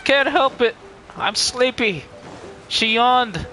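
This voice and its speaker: A man streams a video game with casual, rambling commentary. His voice is bright and forward, and he talks slowly, with faint game music behind him. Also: very high-pitched, expressive.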